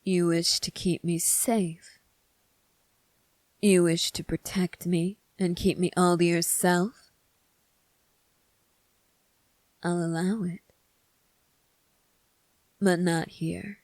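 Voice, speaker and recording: A woman playing a cunning, self-assured young prince in an ASMR roleplay, speaking in a measured, formal, teasing way. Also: fairly low pitch, flat delivery, darkish tone, no room sound. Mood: sly, pleased.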